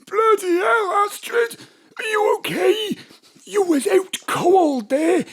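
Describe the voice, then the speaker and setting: A woman playing an excitable, colloquial drinking companion in a comic audio drama. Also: extremely high pitch, slow, creaky, full character voice. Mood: alarmed, scolding. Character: Bob, earthy excitable drinking companion at the pub.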